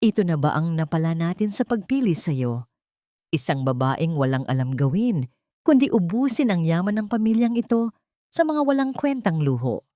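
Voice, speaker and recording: Woman narrator doing a bitter rich older mother-in-law, scolding and scornful, story drama, lowish voice. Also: sing-song, moderate pace, no room sound, studio quality. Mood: scornful, angry. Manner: sharp, scornful.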